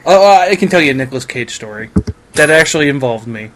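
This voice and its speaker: Animated man telling stories in casual chat. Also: mid-range voice, quick pace, slight background noise. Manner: jokey, swearing, acts out quoted dialogue.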